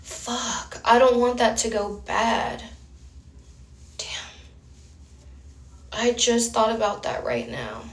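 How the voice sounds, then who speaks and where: Young woman, slightly high voice, rambling livestream chat, phone mic in an echoey room. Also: very animated, takes it slowly, long pauses. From Houston, Texas. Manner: rambling, talks straight to viewers reading chat names.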